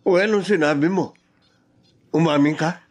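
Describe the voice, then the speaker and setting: An actor plays a cheating husband in a radio drama. His voice sits a bit high for a man, and he talks bluntly and gruffly, protective of his mistress. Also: moderate pace, close to an everyday voice. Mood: alarmed, questioning.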